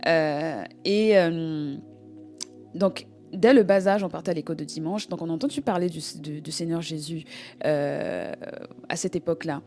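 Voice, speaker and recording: Congolese-born woman telling her faith story, kinda low voice, halting and repeats words, faint music behind. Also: darkish tone. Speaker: guest giving a testimony.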